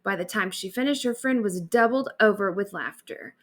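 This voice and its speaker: A woman reading a bedtime story aloud as an expressive storyteller. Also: medium pitch, normal speed.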